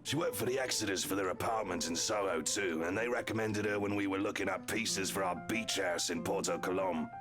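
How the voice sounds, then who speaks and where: A man playing a jovial, boastful married police officer who flatters socialites, chatty and theatrical, in a video game. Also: deep voice, fairly quick, hardly any pauses, lightly acted. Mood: enthusiastic. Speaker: character voice actor in a visual novel. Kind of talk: voiced game dialogue. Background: music.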